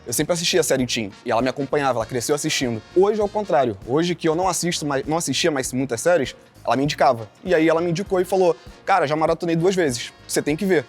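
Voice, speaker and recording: A man in his thirties from Brazil answers as a contestant, recounting his story quickly and chattily. Soft music runs behind him. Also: on the high side. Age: 30s.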